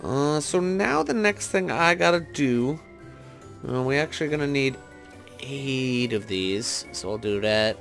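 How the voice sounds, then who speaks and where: Male gaming host, medium pitch, informal think-aloud commentary, slightly unsure step-by-step delivery, music underneath. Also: expressive, a little slow, gravelly, a bit bright.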